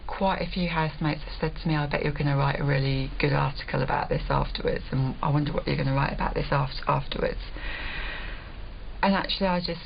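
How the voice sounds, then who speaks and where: A woman with a low, gravelly voice speaks candidly in a confessional interview. She is hesitant and reflective. The recording is slightly muffled, with faint noise. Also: steady tone, fast. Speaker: reality show contestant, journalist.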